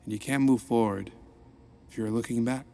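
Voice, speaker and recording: An actor playing an earnest reformed supervillain turned counselor, speaking gently and encouragingly while narrating an audio drama. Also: male voice, mid-range voice, steady tone, normal speed, vocal fry, close to an everyday voice.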